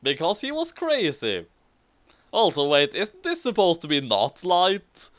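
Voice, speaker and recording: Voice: mid-range voice, huge pitch swings, measured pace, fairly bright tone. Someone reading a story aloud with a dramatic, giggly delivery and amused commentary. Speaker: reader and commentator of fan fiction.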